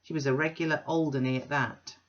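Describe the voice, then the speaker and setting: A woman voicing a blustering, boastful middle-aged businessman who talks loud and blunt. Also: sits low, steady tone, brisk, lightly acted. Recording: dull lo-fi recording.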